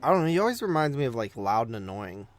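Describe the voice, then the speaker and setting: A man's voice: medium pitch, big pitch swings. Hesitant man chatting, music behind him. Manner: hesitant, noncommittal, halting. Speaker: movie podcast co-host. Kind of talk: casual movie chat.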